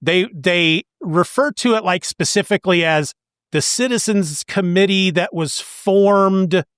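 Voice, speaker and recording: A man's voice: high voice, a little slow, a bit bright, smooth, no echo, clean studio sound. A man hosting a podcast, talking about a comic book story in a casual, conversational way. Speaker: podcast host.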